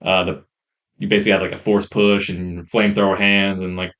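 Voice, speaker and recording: A man co-hosting a podcast, reviewing games in a casual, enthusiastic ramble on a rough-sounding mic. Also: deep voice, little pitch movement, fairly quick, a bit bright, lo-fi sound. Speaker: podcast co-host. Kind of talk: casual chat, game review.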